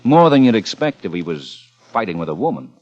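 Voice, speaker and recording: An actor playing a calm, dry police lieutenant in a 1950s radio crime drama, questioning in a measured, understated way. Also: male voice, on the low side. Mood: weary, businesslike. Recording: muffled vintage radio broadcast, music.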